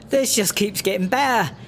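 A man's voice: high-pitched, fairly bright tone, a little hiss or hum, full character voice. A man playing a wary, sly British rapper who smuggles on the side, in a comedic audio drama, with a British accent.

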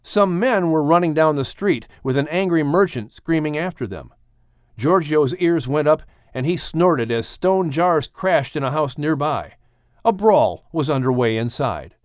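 A male audiobook narrator tells an adventure story with theatrical expression. His pitch swings in a very lively way, and the sound is dry and close, with no room to it. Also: no echo. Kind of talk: dramatic scripted storytelling.

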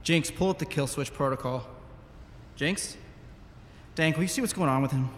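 A man playing a wry, take-charge scientist in an audio drama, expressive in delivery. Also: fairly high pitch, normal speed, close to an everyday voice. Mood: wry, urgent. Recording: echoey hall, faint noise.

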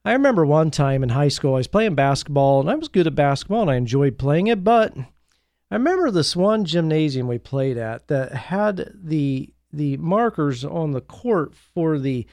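American guy doing a solo podcast, voice a bit on the high side, rambly storytelling, really dry audio. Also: very animated, moderate pace, a little mellow, dry recording. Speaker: podcast host. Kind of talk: informal solo podcast monologue.

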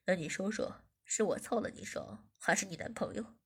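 A woman voicing a bossy, domineering man who taunts and gives orders in a lowered, husky voice. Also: normal speed. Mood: taunting. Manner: taunting, commanding.

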